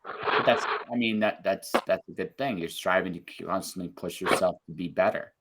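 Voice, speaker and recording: Upbeat low-voiced man hosting a podcast. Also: a bit bright, a little hiss or hum. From Canada. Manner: upbeat, encouraging, talks in quick bursts. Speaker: podcast host. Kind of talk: casual interview chat.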